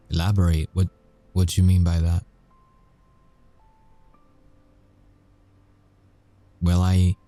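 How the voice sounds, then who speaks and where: A man acts out a bitter, volatile inmate with a deep, dark, mellow voice for an audio roleplay. He is taunting and sarcastic, drawing his words out slowly between dark chuckles. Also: creaky, exaggerated voice. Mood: guarded, sardonic. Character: sardonic, volatile male supernatural prisoner, mocking and bitter. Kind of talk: audio roleplay ASMR acting.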